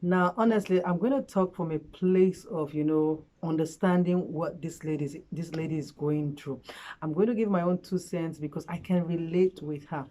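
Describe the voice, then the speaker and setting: A woman giving relationship advice in a casual, earnest vlog chat, her voice hoarse from a cold. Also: sits low, normal speed, warm tone. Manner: chatty, earnest, frequent fillers. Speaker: relationship vlogger. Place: at home late at night.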